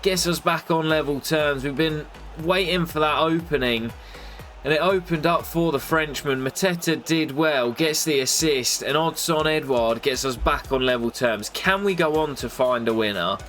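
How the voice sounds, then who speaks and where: A young man with a nasal voice from a cold, commentating his football game in a casual play-by-play that jumps up excitedly at big moments. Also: normal speed, faint music behind. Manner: excitable, bursts up in pitch at goals and misses. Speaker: gaming YouTuber commentating his career mode.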